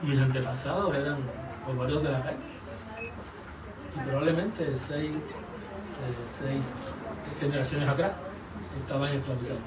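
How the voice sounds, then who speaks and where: An older man from Puerto Rico with a Puerto Rican accent, recollecting as an interview guest in a halting, measured way. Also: mid-range voice. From Carolina, Puerto Rico. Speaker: interview guest, novelist and poet. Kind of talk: conversational recollection. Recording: roomy, noisy, distant microphone.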